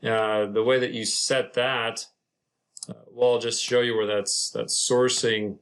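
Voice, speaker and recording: Male tutorial narrator, mid-pitched, unscripted and explanatory, talking through software steps informally with a halting delivery. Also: little pitch movement. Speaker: property manager presenting a software tutorial.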